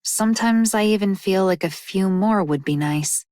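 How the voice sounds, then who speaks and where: A woman's voice: mid-range voice, moderate pace, darkish tone, slight background noise, lightly acted. A woman voicing a shy, solitary weapons lover in a video game, reserved and a little hesitant. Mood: bashful. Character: shy, solitary weapons-loving young woman, slightly awkward. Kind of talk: video game character voice lines.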